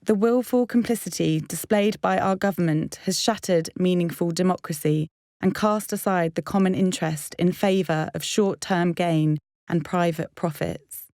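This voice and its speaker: Woman, soft dark timbre, solemn scripted reading, measured, formal, activist, dry sound. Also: fairly low pitch, no room sound. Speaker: activist reader.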